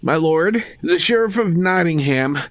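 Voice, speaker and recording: Man acting a high-voiced, cowering messenger. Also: lively intonation, moderate pace, no echo, lightly acted. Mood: fearful, pleading. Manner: stammering, timid, obsequious. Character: cowering, meek, weakling messenger of a villain. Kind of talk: old-time radio adventure acting, archaic English.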